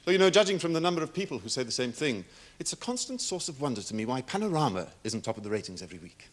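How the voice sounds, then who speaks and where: An actor as a male television producer testifying in a courtroom drama. He speaks quickly and with lively pitch movement. His answers are clipped and courteous, with a guarded, defensive edge. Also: lightly acted. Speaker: witness.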